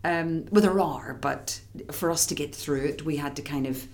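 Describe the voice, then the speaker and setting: An actress with an Irish accent, a woman, chatting excitedly in an interview with many quick restarts. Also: low-pitched. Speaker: musical theatre actress, guest. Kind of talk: casual interview chat.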